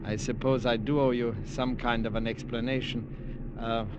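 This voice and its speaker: An actor playing a weary defector in a 1950s radio thriller, speaking precisely and hesitantly. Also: male voice, medium pitch, level tone, moderate pace, muffled recording, natural voice. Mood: earnest. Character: weary male defector, soft-spoken and pleading. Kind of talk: 1950s radio drama acting. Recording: hissy old radio broadcast.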